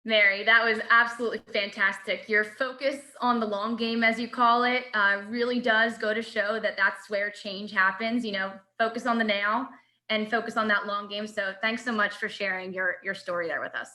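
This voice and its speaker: Bright-voiced woman hosting an event. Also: mid-range voice, steady tone, normal speed. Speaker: event host. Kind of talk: introducing speakers.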